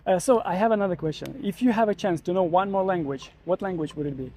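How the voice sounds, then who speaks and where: Guy asking people questions on the street, pretty high voice but mellow, faint noise around. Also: quick pace. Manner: friendly, laughs often, loose "like" phrasing. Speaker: street interviewer. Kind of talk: casual street interview. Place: outdoors on a street.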